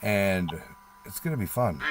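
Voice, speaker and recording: A man's voice: on the low side, expressive, unhurried. A man co-hosting a livestream in casual, conversational talk. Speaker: livestream co-host. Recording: faint background noise.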